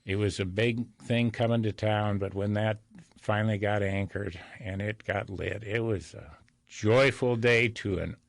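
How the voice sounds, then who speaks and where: A man's voice: fairly low pitch, steady tone, unhurried, gravelly, dry recording, clean studio sound. An older man speaking as an interview guest, telling anecdotes in a folksy, self-deprecating way. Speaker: interview guest, real estate broker.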